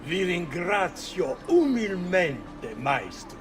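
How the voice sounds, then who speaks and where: A man's voice: sits high, big pitch swings, slow pace, music in the background, exaggerated voice. A man playing an urgent, obsequious noble conspirator with an Italian accent in a game. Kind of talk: cinematic game acting.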